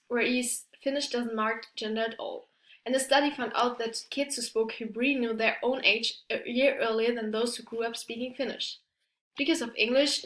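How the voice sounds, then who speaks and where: A woman giving a prepared academic presentation as a student, halting and stumbling over her words. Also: fairly high pitch, fairly bright tone, a little hiss or hum. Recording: echoey room.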